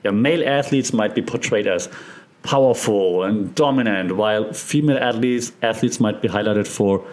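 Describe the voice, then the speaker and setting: A man's voice: lowish voice, normal speed, darkish tone. Man, German accent, podcast host, casual explainer, chatty, checks in with listeners. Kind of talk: conversational explainer monologue.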